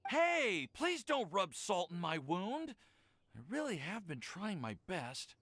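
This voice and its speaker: Bright, flustered lovestruck young man. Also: high-pitched, wildly swooping pitch, slow, no room sound, full character voice. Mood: flustered, grateful. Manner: flustered, stammering, pleading. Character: hapless lovestruck young man, anxious, apologetic, earnest. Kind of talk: English video game voice acting.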